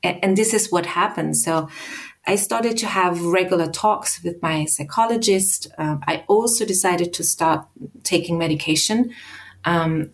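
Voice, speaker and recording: A woman from Austria in her thirties, a guest telling her own story in a measured, halting way with small restarts. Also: fairly low pitch. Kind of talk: personal account in an interview.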